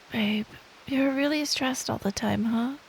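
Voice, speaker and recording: Woman acting caring girlfriend, ASMR roleplay, slightly high soft voice, creaky edges, intimate, playfully teasing. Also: a little hiss or hum. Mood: tender, caring. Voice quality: soft, breathy. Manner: slow, affectionate, playfully teasing. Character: sweet, teasing, caring girlfriend telling an improvised bedtime story.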